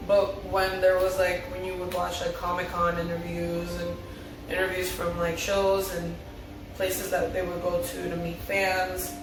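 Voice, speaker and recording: Woman fan talking casually, pretty flat pitch, super echoey and distant. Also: a little slow. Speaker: fan table reader and commentator. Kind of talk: reading a script aloud, then casual fan chat. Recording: very echoey, far from mic, music behind.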